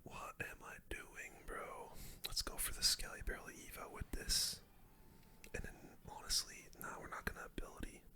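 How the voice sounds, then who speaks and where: A young adult whispers casual, slangy commentary over their own gameplay in an ASMR style. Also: normal speed. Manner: casual slangy gamer talk, full whisper.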